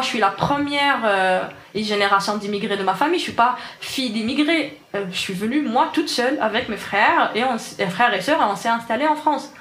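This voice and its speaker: A woman's voice: on the high side, a bit bright, reverberant. A woman in her twenties from Algeria, Arabic her first language, speaking French with an Algerian accent, earnestly reflecting on her experience in an interview. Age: 20s. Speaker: interviewee, engineer. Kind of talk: personal testimony in an interview.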